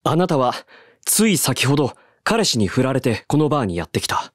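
An actor playing a calm, deadpan male bartender who cracks absurd jokes and explains theories at length, in a voice drama. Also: mid-range voice, a little fast, no room sound, clean studio sound. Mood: dry. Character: calm deadpan male bar master, joking.

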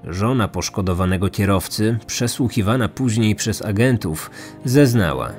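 A man's voice: on the low side, expressive, moderate pace. A man hosting a podcast with scripted true-crime narration. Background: faint music.

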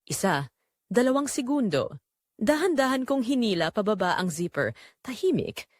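A woman playing a married mother who narrates a secret confession in an audio drama, with deliberate, dramatic delivery in short phrases. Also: medium pitch, no echo, studio quality. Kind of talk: scripted first-person audio drama narration.

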